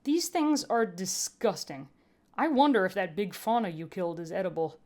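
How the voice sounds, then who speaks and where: A woman voicing a gruff, weary human medic with a blunt, emphatic, argumentative way of talking, in a story reading. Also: fairly low pitch, animated, moderate pace, darkish tone, natural voice. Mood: weary, resolved.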